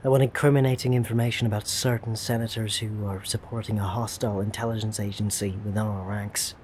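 Actor as a curt, fast-talking male secret agent. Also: on the low side, little pitch movement, a little mellow, a little hiss or hum, natural voice. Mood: curt, stern. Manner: terse, dry. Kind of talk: audio drama acting.

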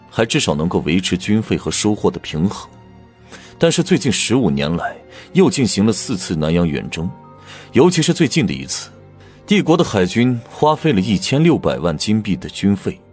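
A grave, animated, troubled prince. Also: male voice, on the low side. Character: imperial prince, grave, troubled, candidly lecturing on state finances. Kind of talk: audiobook drama acting.

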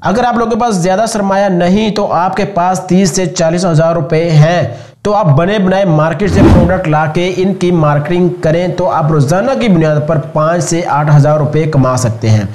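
High-pitched Pakistani man narrating. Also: moderate pace, a little mellow. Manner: addresses viewers directly, instructive. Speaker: video host. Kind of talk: scripted explainer voice-over narration.

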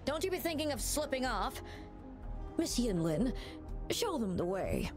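Scolding camp matron, bossy, lively swinging pitch, game voice. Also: female voice, unhurried, music in the background. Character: stern motherly woman guarding a camp.

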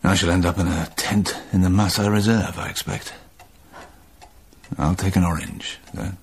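An actor playing a restless adventurer and pilot in a radio drama, understated, laconic and gently evasive. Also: male voice, low voice, steady tone, moderate pace, a little hiss or hum. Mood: resigned.

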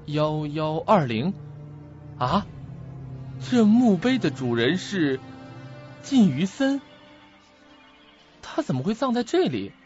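An actor playing a puzzled, anxious young man in a radio drama, speaking hesitantly and questioning himself. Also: on the high side. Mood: puzzled, uneasy. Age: young adult. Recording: muffled lo-fi audio, music behind.

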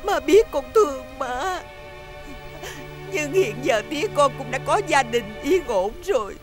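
An actress playing a gentle, self-sacrificing mother who speaks softly and tearfully. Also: sits high, animated, a little slow. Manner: soft, tearful pleading. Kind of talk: stage drama acting. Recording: music behind the voice.

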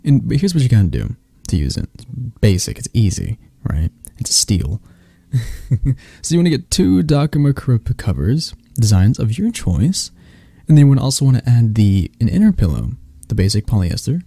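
A man's voice: mid-range voice, big pitch swings, normal speed, creaky, dark tone, breathy edge, no room sound, clean studio sound. A man casually presenting a sponsor read, informal and chatty in his phrasing. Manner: informal, tags phrases with "right?". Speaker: ASMR creator presenting a sponsor.

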